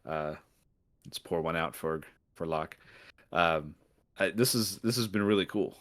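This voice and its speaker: Deep-voiced man chatting casually. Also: a little slow, fairly bright tone. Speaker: podcast co-host. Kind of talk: casual chat.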